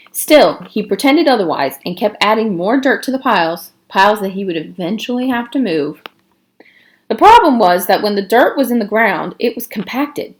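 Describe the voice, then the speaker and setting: Woman narrator for children's fiction read-aloud, expressive, widely varied pitch.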